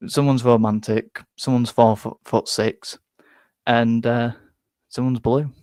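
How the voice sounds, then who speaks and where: Young Yorkshire bloke, slightly nasal, rambling live-stream chatter, mid pitch, dry close mic. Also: male voice, slow pace, dry recording. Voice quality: bit nasal, flat. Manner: rambling, self-deprecating, stumbles and repeats words. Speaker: football fan streamer reading chat. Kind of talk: casual live-stream chat.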